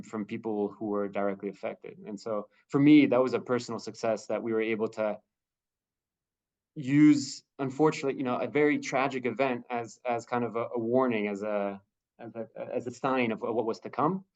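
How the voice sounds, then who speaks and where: A man speaking as a humanitarian spokesperson on a video call, answering questions in a halting way, with many fillers and restarts. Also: medium pitch, steady tone, a little mellow, lo-fi sound.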